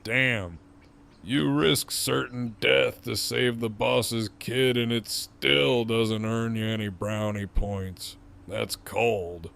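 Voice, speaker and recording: Casting: male actor for gruff, weary, sardonic captive in audio drama; unhurried, creaky, teasing delivery. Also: mid-range voice, fairly even intonation, fairly bright tone. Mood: mock offended. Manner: slow, wry, teasing drawl.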